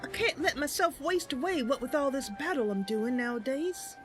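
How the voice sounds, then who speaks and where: A woman's voice: sits high, expressive, normal speed, music in the background. A woman voicing an earnest, chatty young female wood elf knight in a fantasy game roleplay, with a cheerful, artless manner. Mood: wistful, upbeat.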